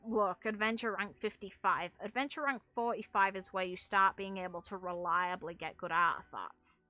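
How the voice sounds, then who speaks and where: Female gaming host, bright forward voice, casual and chatty, music underneath. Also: medium pitch. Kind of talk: casual gameplay commentary. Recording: music playing behind the voice. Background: background music.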